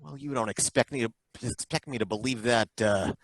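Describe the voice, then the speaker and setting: A man voices a blunt, doubting license inspector in an old-time radio play. His voice sits at a middle pitch and sounds dry and close. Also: a little fast, dry recording, lightly acted. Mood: skeptical. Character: skeptical, gruff male license inspector.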